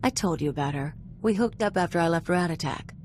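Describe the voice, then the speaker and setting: An actress plays a tough, streetwise outlaw companion in a video game. Her voice is fairly low, with a cocky, clipped, offhand delivery. A little noise sits in the background. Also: lively intonation, fairly quick, darkish tone, close to an everyday voice. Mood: determined, casual. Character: brash, streetwise female outlaw gunslinger companion. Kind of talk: conversational video game acting.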